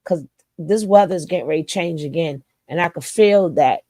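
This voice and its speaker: A woman's voice: fairly low pitch, moderate pace, warm tone, no echo. A woman giving casual reaction commentary, stuffy from a cold, mocking and teasing in slangy talk. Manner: mocking, laughing, slangy, sing-song teasing.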